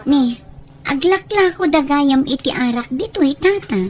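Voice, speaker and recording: A woman acts as an easygoing young Filipino village woman, her voice a little high. She sounds agreeable and conversational in this audio drama, with music behind her. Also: lively intonation, normal speed. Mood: sociable. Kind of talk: Ilocano audio drama acting. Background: background music.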